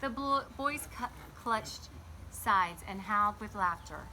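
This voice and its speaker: A woman hosting a children's storybook read-aloud outdoors, chatting in an animated way and putting questions to her young listeners. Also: mid-range voice, slow, a little hiss or hum. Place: garden, sitting outdoors by a small table fort.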